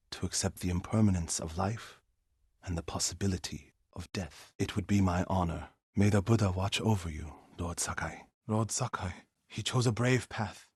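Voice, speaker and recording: A devout warrior monk for a video game. His voice is fairly low and husky, recorded close and dry. He speaks formally and earnestly, with solemn loyalty and the weight of grief. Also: a little mellow, no echo. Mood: solemn, calm. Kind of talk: dramatic video game dialogue.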